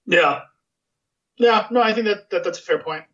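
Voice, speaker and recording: Rambling podcast host, muffled sound. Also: male voice, high-pitched, normal speed, a bit bright. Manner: rambling, many fillers and restarts. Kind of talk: casual podcast chat.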